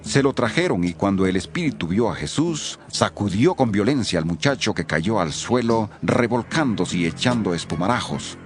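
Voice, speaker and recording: A man's voice: on the low side, animated, normal speed, few pauses, soft background music. A man narrating scripture from a script, as the narrator of a dramatized reading.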